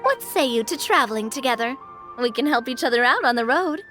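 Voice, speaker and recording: A woman doing a bright, bold huntress. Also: high voice, expressive, a little fast, faint music behind, pitched above the performer's own voice. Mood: confident. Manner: bold, friendly, takes the lead. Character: confident young female monster hunter.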